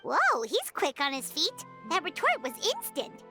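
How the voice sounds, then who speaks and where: Brief: a tiny excitable sidekick, very high, bouncy, full of questions. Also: female voice, a little slow, soft background music, full character voice. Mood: curious. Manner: curious, blurts questions. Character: small excitable floating companion girl.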